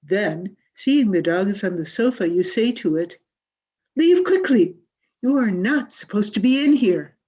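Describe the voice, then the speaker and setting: Woman playing a slow, husky, grandiose drama coach. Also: medium pitch, animated, mellow. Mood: brisk, instructive. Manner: theatrical, emphatic, drawn-out delivery. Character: grandiose, exacting female drama coach running auditions. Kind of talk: 1940s radio comedy acting. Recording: dull, muffled video-call audio.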